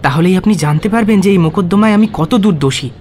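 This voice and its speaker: A man's voice: sits high, quick pace, darkish tone, slight background noise, natural voice. A man playing an accused prisoner in a radio drama, insistently pleading his innocence with emphatic questioning. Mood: pleading, urgent.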